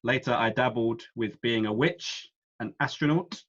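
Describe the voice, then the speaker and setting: A man of ordinary pitch hosts a quiz, reading every clue out slowly and then a second time. His voice arrives over a video call, slightly dull and lo-fi. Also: a bit bright. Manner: reads each clue slowly twice. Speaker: quiz host.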